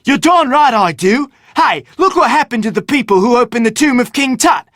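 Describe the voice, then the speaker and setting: A man's voice: very high voice, lively intonation, normal speed, fairly bright tone, no echo, studio quality. A man voicing a sarcastic, dramatic, curse-obsessed film crew member in a video game, with theatrical delivery. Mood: alarmed, urgent.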